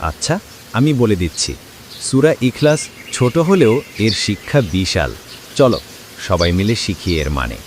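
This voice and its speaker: A man playing a kindly village imam in a cartoon, teaching children in a gentle, encouraging, didactic way over noisy ambience. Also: fairly low pitch, big pitch swings, moderate pace, long pauses. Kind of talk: scripted cartoon dialogue and Quran recitation.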